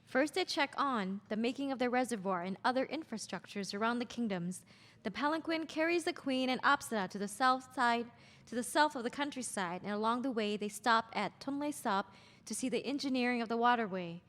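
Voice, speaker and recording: A woman's voice: on the high side, lively intonation, fairly quick, fairly bright tone. A woman narrating scripted stage directions at a play's table read. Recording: long reverberant hall echo.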